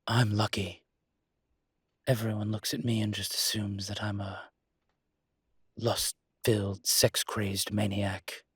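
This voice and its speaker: A suave demon acted by a man for an intimate roleplay audio drama. His voice is lowish and husky, with little melodic movement. He speaks wryly and articulately, proud and protective of his kind, in a very dry, close recording. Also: little pitch movement, fairly bright tone, dry recording, exaggerated voice. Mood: wry, troubled. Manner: wry, articulate, unhurried emphatic phrasing.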